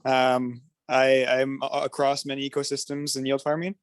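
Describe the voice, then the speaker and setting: Male meeting participant, informal, mid pitch, little variation, halting delivery. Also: little pitch movement, smooth, no echo, clean studio sound. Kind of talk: casual self-introduction.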